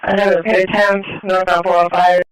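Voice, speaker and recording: A man dispatching over police radio, relaying updates in a measured, procedural way. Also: sits high, level tone. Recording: distant-miked police scanner dispatch audio.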